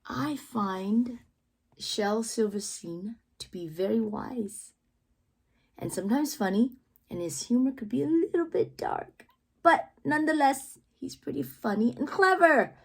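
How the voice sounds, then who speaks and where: A woman reading children's poetry aloud with theatrical, deliberate phrasing and playful emphasis, adding asides as the reader. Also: on the high side, lively intonation, breathy edge. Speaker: read-aloud host, teacher-like reader.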